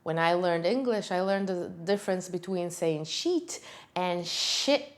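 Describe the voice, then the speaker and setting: A Hebrew teacher with an Israeli accent, a native Hebrew speaker, giving an upbeat, emphatic video lesson. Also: female voice, measured pace. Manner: upbeat, emphatic, talks with lively pitch jumps on key words.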